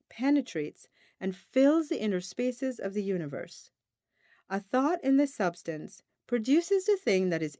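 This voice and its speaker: A woman reading a self-help audiobook in a deliberate, emphatic, instructional manner. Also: mid-range voice, warm tone, dry recording, clean studio sound. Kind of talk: scripted audiobook narration of a self-help text.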